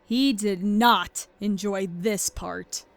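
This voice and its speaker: A woman's voice: mid-range voice, slow pace, gravelly, no echo. A woman reading scripted storybook narration as the narrator of a fan fiction tale.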